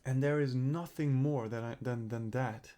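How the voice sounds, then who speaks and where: A man's voice: mid-range voice, fairly even intonation, normal speed, warm tone. A young man recovering from a cold, rambling and swearing as he thinks aloud in a personal video diary. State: recovering from a cold, throat clearing up. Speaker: vlogger.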